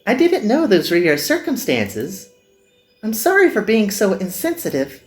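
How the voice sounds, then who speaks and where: Man acting a young magical girl, high lifted voice, earnest, gentle, visual novel dialogue, swooping intonation. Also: huge pitch swings, normal speed, reverberant, faint music behind. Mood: apologetic. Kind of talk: visual novel character dubbing.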